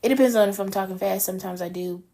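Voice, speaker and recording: A woman's voice: mid-range voice, rapid-fire, mellow. A woman from North Carolina co-hosting a casual podcast, reading news items aloud and then riffing on them in chatty conversation. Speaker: podcast co-host.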